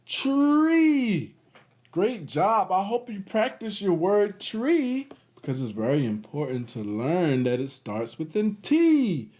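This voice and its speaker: A man hosting a read-aloud for young children in an animated style, encouraging them and adding chatty asides. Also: high voice, takes it slowly.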